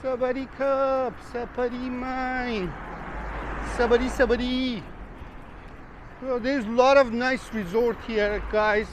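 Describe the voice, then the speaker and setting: Man, travel vlogger, unhurried chatty rambling, outdoor street, cars passing clearly behind. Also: very high-pitched, steady tone. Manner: rambling, chatty, addresses viewers as guys. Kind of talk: casual walking vlog. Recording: street traffic noise behind.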